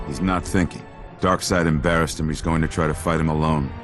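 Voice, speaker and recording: Very deep, grim hero tactician. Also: male voice, steady tone, brisk, music in the background. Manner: clipped, stern. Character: grim, terse superhero tactician, a grown man.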